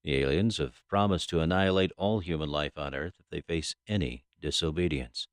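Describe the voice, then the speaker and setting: An actress playing a stern, businesslike FBI agent in an audio drama, questioning in a formal, clipped manner. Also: female voice, extremely low pitch, a little fast, dry recording, studio quality. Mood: grave, firm.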